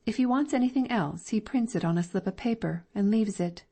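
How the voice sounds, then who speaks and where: A woman's voice: mid-range voice, a little fast, mellow, slightly rough. A woman reading the part of a respectful older landlady who plainly and deferentially reports to a detective in an audiobook. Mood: earnest.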